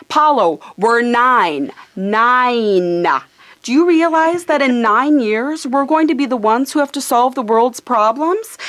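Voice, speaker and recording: A woman's voice: a little high, fairly bright tone. A woman voicing a skeptical, practical nine-year-old girl, exasperated and matter-of-fact, in a live radio theater reading.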